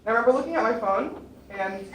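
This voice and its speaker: A woman's voice: a little high, lively intonation, quick pace, a bit bright. A young woman on a panel, earnestly telling the audience about her experience. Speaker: panel speaker. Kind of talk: personal account to an audience. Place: lecture room. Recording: echoey room, mic far off, faint noise.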